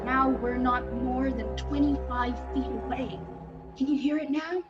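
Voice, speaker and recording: A woman playing an excitable radio reporter, an awed and alarmed eyewitness, in a live reading of a 1930s radio drama, with dramatic, suspenseful pauses. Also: high-pitched, lo-fi sound, loud music behind the voice. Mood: excited, curious.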